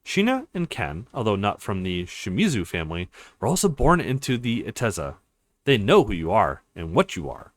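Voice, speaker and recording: A bitter young woman fighter from a visual novel, read by a man in his male register. The delivery is blunt and reproachful, with pitch that rises and falls freely. It is recorded dry. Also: wildly swooping pitch, normal speed, no room sound.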